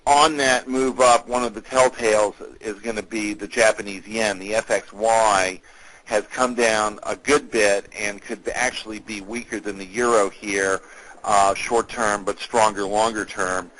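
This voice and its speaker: A man hosting a call as an analyst, giving a semi-scripted market briefing in a measured, businesslike, explanatory way. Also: fairly low pitch, a bit bright, low-quality recording.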